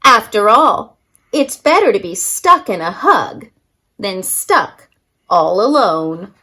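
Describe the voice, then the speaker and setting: A woman's voice: mid-range voice, very animated, slow, pushed and bright. A woman reading a picture book aloud expressively for young children, as a story-time reader.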